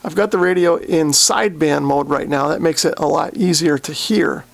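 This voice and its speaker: A man's voice: fairly high pitch, normal speed, vocal fry, heavy background noise. A man hosting a hobbyist video, explaining step by step in a tentative, non-expert way as he walks through what is on screen. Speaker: radio hobbyist video host.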